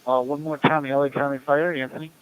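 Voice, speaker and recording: A man acting as net control on a community radio net, giving informal announcements and roll calls in a halting, procedural way. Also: fairly high pitch, level tone, smooth, low-quality recording.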